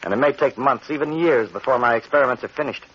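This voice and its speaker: An actor playing a young, ambitious, ruthless scientist with intense, melodramatic 1940s radio delivery. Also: male voice, mid-range voice, fast talker, breathy edge, lightly acted. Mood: eager, intense. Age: young adult. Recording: muffled vintage 1940s radio recording.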